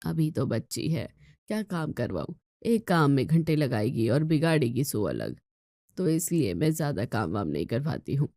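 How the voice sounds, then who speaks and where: A woman voicing a weary, indulgent middle-aged mother in a moral story, speaking in a soft, placating way. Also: rumbling low, big pitch swings, normal speed, dry recording, clean studio sound, heavily acted. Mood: weary, defensive.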